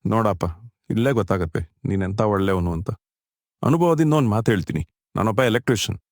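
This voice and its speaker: A man's voice: on the low side, lively intonation, fast, no echo, clean studio sound. A man playing a kindly, grieving older husband, an electrician, speaking in an earnest, fatherly way in a cartoon story. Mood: kindly, encouraging. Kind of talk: dramatic dialogue in a cartoon short story.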